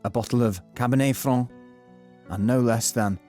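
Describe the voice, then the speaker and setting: An actor narrating a comic detective drama in a measured, deadpan scripted style. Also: male voice, medium pitch, soft background music, close to an everyday voice. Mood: deadpan narration.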